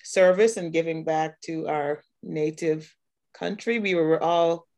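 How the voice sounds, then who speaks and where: A woman from Guyana in her fifties hosts a panel talk in a low, gravelly voice. She speaks very slowly and warmly, with small hesitant restarts as she introduces her guests. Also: fairly even intonation. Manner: unhurried, friendly, a little hesitant with small restarts. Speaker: host. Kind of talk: hosting a panel conversation.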